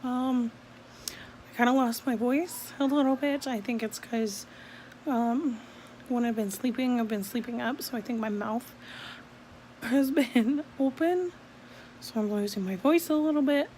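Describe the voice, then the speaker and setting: A young woman vlogging on a phone camera, tired after surgery, talking in a drowsy, drawn-out, giggly way. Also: on the high side, low-quality recording. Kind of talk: casual video diary. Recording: loud fan hum behind.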